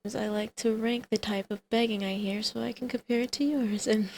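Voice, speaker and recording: A woman playing a teasing, flirtatious villainess in an intimate ASMR roleplay, with a creaky voice that often drops to a breathy whisper. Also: fairly high pitch, steady tone, normal speed, darkish tone, slight background noise, natural voice. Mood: playful, mischievous.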